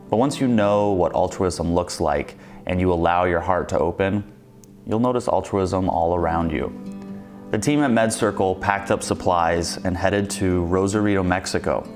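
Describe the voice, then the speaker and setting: A man's voice: sits low, echoey room. A male host giving earnest, reflective documentary narration and asking interview questions.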